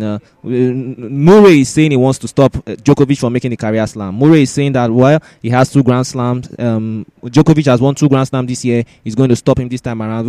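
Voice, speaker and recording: A man's voice: medium pitch, dark tone, no echo. A man hosting a sports show, running through results and predictions in a roundup style.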